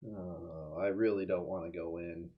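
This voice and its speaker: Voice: deep voice, fairly even intonation, measured pace, highs cut off. A tabletop roleplaying game player talking in character, sarcastic and given to swearing. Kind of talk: joking in-character game chat.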